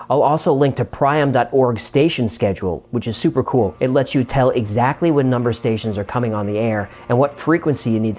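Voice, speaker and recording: A man's voice: medium pitch, darkish tone. A man hosting a show and narrating in a scripted explainer style.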